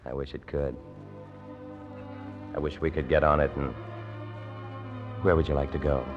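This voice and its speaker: A deep-voiced, earnest young man of old radio drama. He hesitates and repeats his words, unsure of himself and sincere. Faint music sits behind him. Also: level tone, moderate pace, muffled recording. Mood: tender, wistful. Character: earnest, self-doubting young man, shy and grateful holiday guest.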